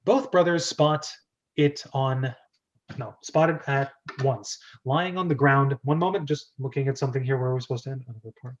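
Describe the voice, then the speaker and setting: A man's voice: mid-range voice, moderate pace. A man hosting an informal script read-aloud, reading stage directions theatrically and adding casual, jokey asides. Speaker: host and script reader. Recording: dull lo-fi audio.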